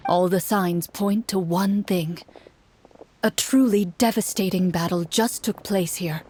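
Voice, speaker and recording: A woman voicing a female game master who narrates a tabletop adventure in measured second-person storytelling, in video game voice acting. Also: fairly low pitch, darkish tone, slight background noise, lightly acted.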